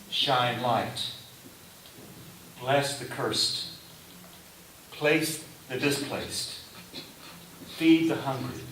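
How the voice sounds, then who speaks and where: In a very reverberant room, picked up from a distance over faint hiss, a man delivers a sermon. He speaks slowly and deliberately, leaning on rhetorical questions and short, emphatic phrases. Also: lowish voice, fairly bright tone. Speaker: preacher. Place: reverberant indoor room before a congregation.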